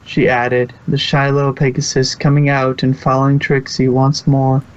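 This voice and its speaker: A narrator reading fan fiction aloud in a halting way, stumbling now and then over the text. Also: mid-range voice, monotone, a little hiss or hum. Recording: dull lo-fi recording.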